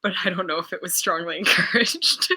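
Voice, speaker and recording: A woman's voice: on the low side, a little fast, pushed and bright, husky. A woman comedian telling a self-deprecating story in a casual chat with a host. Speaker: comedian guest.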